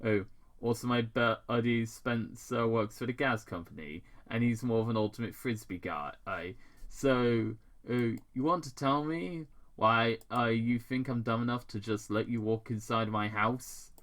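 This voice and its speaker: Man reading as a sharp, stubborn small-town guy. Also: medium pitch, measured pace, lightly acted. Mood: defiant. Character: folksy, stubborn small-town man guarding his home. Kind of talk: amateur fan fiction read-aloud.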